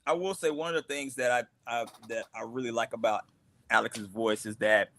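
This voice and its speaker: A man's voice: mid-range voice, fairly even intonation, a little fast, a bit bright, no room sound. A man, the show's creator, talking casually on a cast livestream, often repeating words as he finds his thought. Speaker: creator of the comic series.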